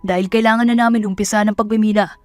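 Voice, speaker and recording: A woman's voice: medium pitch, steady tone, fast talker, no room sound, faint music behind, natural voice. A woman voicing a domineering, dismissive male mining boss in an audio drama. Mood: stern, dismissive. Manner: stern, dismissive. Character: stout, domineering male mining company man. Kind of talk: Tagalog audio drama dialogue.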